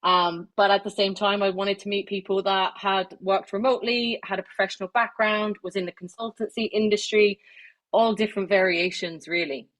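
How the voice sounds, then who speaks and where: A woman's voice: mid-range voice, fairly even intonation, normal speed, fairly bright tone. Chatty woman giving a webinar talk. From not Irish, living in Ireland. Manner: chatty, many fillers and restarts. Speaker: webinar speaker. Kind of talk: informal presentation on networking. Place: online webinar, video call.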